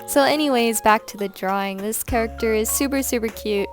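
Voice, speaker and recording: Young woman, relaxed rambling voice-over, a touch high-pitched, over quiet background music. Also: fairly quick. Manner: chatty, rambling, with light laughs and fillers. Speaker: art vlogger. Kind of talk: casual voice-over while drawing. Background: soft background music.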